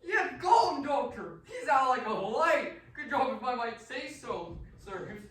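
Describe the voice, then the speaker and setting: A woman's voice: high voice, big pitch swings, unhurried, fairly bright tone, distant mic, heavily acted. Woman as swooping, brash asylum attendant, echoey. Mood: brisk, bossy. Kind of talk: radio play acting. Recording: very echoey, off mic.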